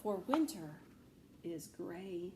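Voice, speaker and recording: Woman as a slow, dreamy little field mouse poet. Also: fairly low pitch, animated, echoey room, background noise, voice pushed down, exaggerated voice. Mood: calm, dreamy. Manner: slow, deliberate, simple delivery.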